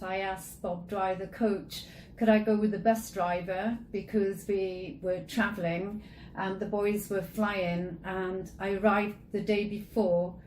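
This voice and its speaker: A woman's voice: mid-range voice, warm tone, reverberant, a little hiss or hum, poor audio. A woman hosting an interview, asking questions and sharing stories in a chatty, enthusiastic way. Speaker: host. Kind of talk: conversational interview.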